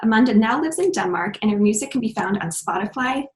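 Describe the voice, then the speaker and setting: A woman's voice: fairly high pitch, lively intonation, fast. A woman hosting a podcast, reading a guest introduction and chatting in an upbeat way. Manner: upbeat, laughs often. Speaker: podcast host. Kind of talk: reading a guest introduction, then casual interview chat. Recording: roomy echo.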